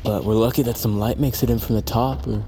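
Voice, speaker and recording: A man acting in audio fiction as an anxious, loyal friend, excitable and nervy with casual phrasing. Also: medium pitch, fast talker, noisy background, lightly acted. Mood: urgent, upbeat. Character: anxious, loyal male friend on perilous journey.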